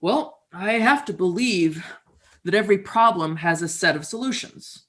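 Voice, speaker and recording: A woman's voice: lowish voice, lively intonation, moderate pace, a bit bright. A woman voicing a confident male oil engineer who explains things in a matter-of-fact way. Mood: assured.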